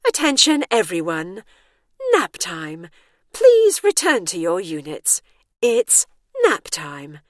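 A woman's voice: on the low side, huge pitch swings, slow pace, no room sound, heavily acted. A condescending care-home attendant, put on by a woman, coaxing as if to someone frail. The storybook figure sounds soothing and patronising, closely recorded and dry. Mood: cheery announcing.

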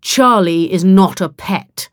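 Wry, protective male time traveller, read by a woman, calm and clipped, storybook hero, dry close recording. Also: fairly low pitch, slow pace, no room sound. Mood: grim, cold.

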